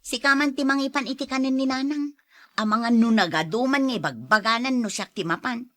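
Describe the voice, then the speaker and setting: A woman's voice: fairly high pitch, a little fast, a bit bright, lightly acted. A woman playing an evasive youngest daughter and caretaker in a Filipino family drama, with a Filipino accent. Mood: irritated. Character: youngest daughter, evasive caretaker of her mother, given to wailing. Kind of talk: Filipino radio-style family drama acting.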